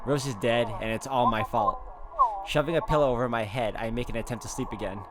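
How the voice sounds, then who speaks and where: A man's voice: medium pitch, a little fast, heavy background noise. A man hosting a game walkthrough, reading a visual novel aloud expressively and adding his own commentary. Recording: loud music over voice.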